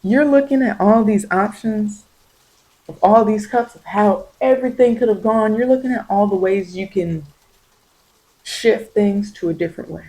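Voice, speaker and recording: A woman's voice: mid-range voice, normal speed, dark tone, poor audio. A woman improvising spiritual tarot guidance straight to the listener, encouraging and repeating phrases for emphasis. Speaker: tarot reader and ASMR host.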